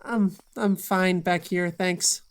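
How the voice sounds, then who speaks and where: A woman playing a grumpy, guarded, sarcastic young traveler in an audio drama, deadpan and curt. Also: lowish voice, slow pace.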